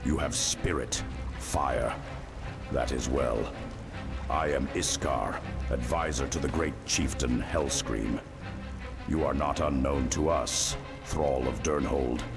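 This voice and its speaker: A man playing a stately orc advisor to a chieftain, speaking gravely and deliberately in a game cutscene. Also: extremely low pitch, heavily acted. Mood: grave approval. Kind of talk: dramatic game cutscene acting. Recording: music under the voice.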